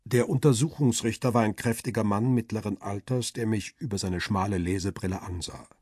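A middle-aged photographer telling his own story in a radio crime drama. His voice is lowish and husky, with a very dry studio sound. The delivery is measured, wry and reflective. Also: a little mellow, no echo. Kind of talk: first-person radio drama narration.

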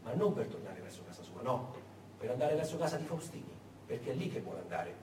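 A man's voice: medium pitch, sing-song. Echoey, distant man telling a dramatic story. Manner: emphatic, deliberate, repeats words, dramatic pauses. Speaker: solo stage storyteller. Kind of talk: dramatic theatrical monologue narration. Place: echoey performance space, far from the mic. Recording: echoey, noisy, distant stage recording.

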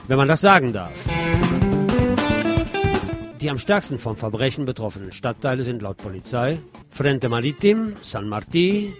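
A man reads radio news in a scripted style at a medium pitch, slipping in dry, sardonic comments as the host. Plucked guitar music plays behind his voice. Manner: sardonic, informal asides. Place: radio programme.

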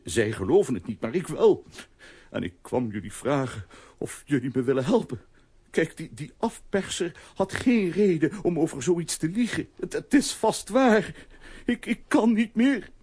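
A husky, halting, heartbroken father. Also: a little high, animated, darkish tone. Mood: despairing. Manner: halting, plaintive. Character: grief-stricken, polite father of a kidnapped girl. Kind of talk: children's radio play acting.

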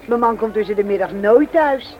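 An actress playing a firm, matter-of-fact housewife in a radio play. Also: female voice, medium pitch, lively intonation, moderate pace, a faint crowd, close to an everyday voice. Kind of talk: Dutch radio play acting. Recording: muffled, noisy vintage radio recording.

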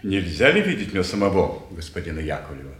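A polite, formal man of about forty with a deep voice. The delivery is old Soviet stage drama, echoing in a theatre. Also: sing-song, quick pace, fairly bright tone. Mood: polite, reserved. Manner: courteous, formal. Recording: 1950s roomy theatre recording.

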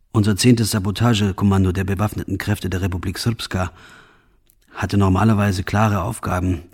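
Husky, very deep-voiced soldier. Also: male voice, darkish tone. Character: grim adult male soldier recounting his war service. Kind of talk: radio play monologue.

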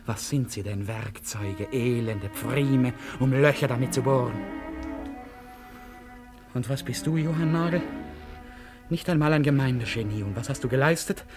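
A man's voice: mid-range voice, moderate pace, long pauses, music in the background, lightly acted. An actor playing a despairing, self-mocking man in a German radio play, brooding aloud in theatrical monologue. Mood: bitter, mocking. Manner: theatrical, brooding, swinging from murmur to outburst.

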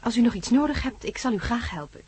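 A woman acting in a radio drama as a polite, solicitous librarian with a gentle, helpful manner. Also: on the high side, expressive, fast talker, husky. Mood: concerned.

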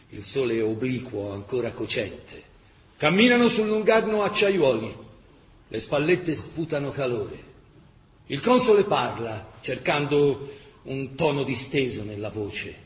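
A man narrating a dramatic historical chronicle in emphatic, measured radio-theatre style. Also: medium pitch. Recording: echoey reverberant hall, faint noise.

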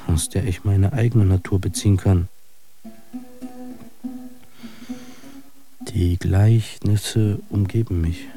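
An actor playing an embittered, disillusioned fighter in a radio drama monologue, declaiming and cursing in coarse words. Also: male voice, deep voice, warm tone. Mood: brooding, weary.

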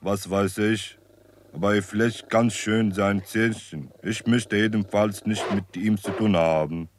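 An actor playing a cautious, slangy sidekick in a 1960s radio crime drama, speaking in an offhand, laconic way. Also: male voice, on the low side, fairly even intonation, a little slow, lightly acted. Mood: wary. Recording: clearly noisy background ambience.

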